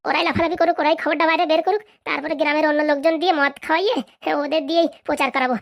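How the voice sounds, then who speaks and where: A woman's voice: sits high, steady tone, rapid-fire, creaky, lo-fi sound. A woman voicing a scheming, ambitious village madam in a comic cartoon drama, talking like a conspirator. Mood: smug, plotting.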